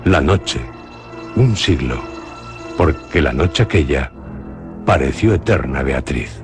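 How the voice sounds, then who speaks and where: A Spanish man narrates a dark legend in a very deep voice. He reads slowly and solemnly, like a radio storyteller. Clear music plays behind him throughout. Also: expressive. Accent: Spanish from Spain. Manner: slow, measured, solemn storytelling. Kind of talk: dramatic radio reading of a horror legend.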